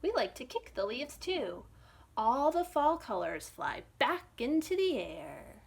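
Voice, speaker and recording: Animated, high-voiced woman reading to children. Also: slow, gravelly, breathy edge. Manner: animated, expressive, asks the young listeners questions. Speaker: children's librarian reading a picture book aloud. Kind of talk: read-aloud storytime for young children.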